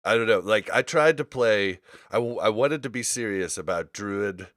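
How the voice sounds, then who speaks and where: A man's voice: lowish voice, expressive, normal speed, a bit bright, dry recording, studio quality. A man hosting a casual gaming podcast, talking in loose slang with the odd swear word. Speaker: podcast host.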